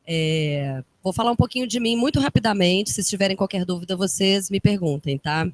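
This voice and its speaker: A woman from Minas Gerais with a Minas accent, a fashion designer chatting casually and enthusiastically to a live audience. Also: medium pitch. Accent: Minas Gerais Brazilian Portuguese. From Minas Gerais, Brazil. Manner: chatty, enthusiastic, quick bursts of speech. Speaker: fashion designer and guest speaker. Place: event room with an audience.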